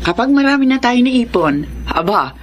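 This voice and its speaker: A woman's voice: a little high, sing-song, normal speed. A woman with a Filipino accent playing a trusting, easily persuaded widowed mother in a radio drama, on slightly noisy audio. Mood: pleased, reassuring.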